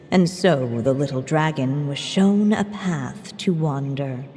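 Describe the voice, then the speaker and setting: A woman reading a fairy tale as a scripted storybook narration, in a gentle, measured way. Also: lowish voice. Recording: game narration with reverb and faint ambience.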